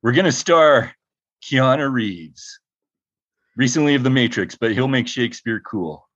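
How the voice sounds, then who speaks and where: A deep-voiced man improvising a screenwriter in a comedy scene, with a rambling, stumbling delivery. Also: animated, unhurried, fairly bright tone, dull audio.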